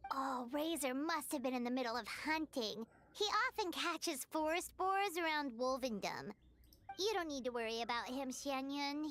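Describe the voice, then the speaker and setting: Here is a tiny fairy companion from an anime game, chattering eagerly in a very high, childlike voice. The pitch bounces around in a lively way, and clear noise with clicks sits in the game audio. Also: female voice.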